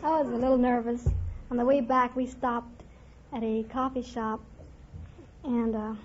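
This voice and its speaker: A woman's voice: on the high side, little pitch movement, moderate pace. A young woman answering questions as a quiz show contestant on an old radio recording. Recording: dull vintage radio, faint hiss.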